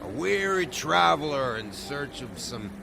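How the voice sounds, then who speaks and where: Gravelly, bitter barfly. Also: male voice, fairly high pitch, very animated, measured pace, noisy background, full character voice. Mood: sardonic, intoxicated. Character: bitter, drunk, foul-mouthed barfly man, needling and volatile. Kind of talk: video game voice acting. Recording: music behind the voice.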